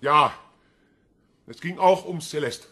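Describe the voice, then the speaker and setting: An actor playing a polite, evasive man under police questioning in a crime radio play, speaking in measured, defensive sentences. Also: on the high side, sharp tone, slight background noise. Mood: guarded. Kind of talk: radio crime drama acting.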